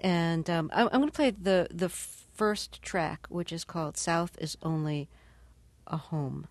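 Woman, radio host, measured, lowish voice, dry studio. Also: no room sound. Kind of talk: radio interview.

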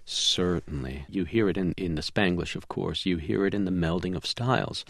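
A Cuban-born man with no accent in English, a musician answering radio interview questions in a relaxed storytelling way with small restarts. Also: sits low, moderate pace, vocal fry, dry recording. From Cuba. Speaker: musician guest. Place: remote studio.

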